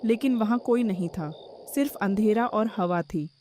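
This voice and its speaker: A woman's voice: mid-range voice, normal speed, a little mellow, slight background noise. A woman giving scripted narration of a horror story as its narrator.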